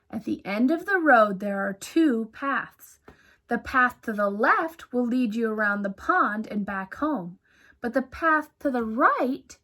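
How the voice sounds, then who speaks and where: A woman reads as a grown rabbit parent in a picture book. The voice is high and deliberate, patient but firm, stressing each instruction for a young child. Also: a bit bright, full character voice. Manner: instructing, emphatic.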